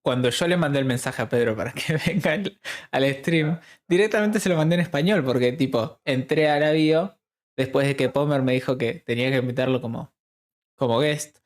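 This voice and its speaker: A man's voice: medium pitch, brisk. Chatty Argentine man hosting casually. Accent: Rioplatense Argentine Spanish. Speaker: stream host interviewing a guest. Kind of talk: casual interview chat.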